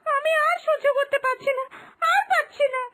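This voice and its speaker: A woman voicing a feisty, scheming younger daughter-in-law in a children's cartoon, taunting and expressive. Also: extremely high pitch, moderate pace, dry recording, studio quality. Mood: wailing, distressed. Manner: taunting, sharp-tongued, expressive. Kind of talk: Bengali moral-story cartoon dubbing.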